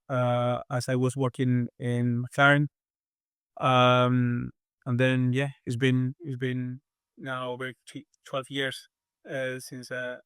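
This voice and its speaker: Spanish-accented man, smooth steady voice. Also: mid-range voice, no room sound, studio quality. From southern Spain. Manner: measured, thoughtful, with many hesitations. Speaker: aerodynamics engineer, guest. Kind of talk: interview answers.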